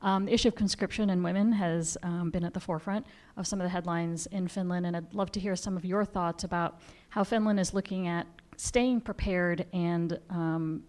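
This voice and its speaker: Woman, slightly low voice, polite, articulate, audience question. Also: darkish tone. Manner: polite, articulate, some fillers. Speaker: audience member asking a question. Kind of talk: formal question from the floor.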